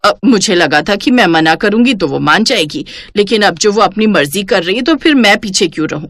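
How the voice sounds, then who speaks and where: A woman's voice: medium pitch, expressive, a little fast, a bit bright, no echo. Woman acting lazy, grumbling middle-aged mother-in-law. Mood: complaining. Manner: excuse-making, grumbling, bossy. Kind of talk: Hindi cartoon moral story acting.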